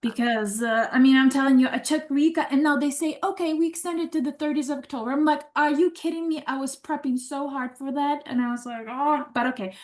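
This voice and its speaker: A woman's voice: high voice, steady tone, brisk, hardly any pauses. A woman with a Ukrainian accent, a teacher in training, talking eagerly in a rambling way with many restarts during a casual online session. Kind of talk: casual webinar chat.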